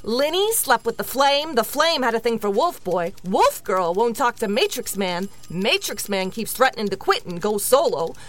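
A female aide in a comic audio play, acted by a woman. She is frank, skeptical and gossipy, in a slightly high voice with lively swings of pitch. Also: moderate pace, hardly any pauses. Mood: doubtful, gossipy. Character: matter-of-fact female mayoral aide, gossipy and doubtful. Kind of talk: comedic audio drama dialogue.

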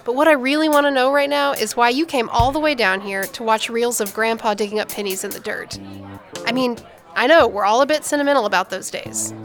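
An actress playing a down-to-earth scientist and teasing older sister in a modern audio drama, playful and probing in conversation. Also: female voice, on the high side, brisk, soft background music, close to an everyday voice. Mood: curious, knowing.

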